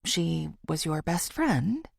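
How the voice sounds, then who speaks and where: A woman's voice: low-pitched, big pitch swings, slow pace, gravelly, husky, dry recording, clean studio sound, full character voice. A woman voicing a suspicious, hurt woman who confronts her best friend with pointed demands in an audiobook.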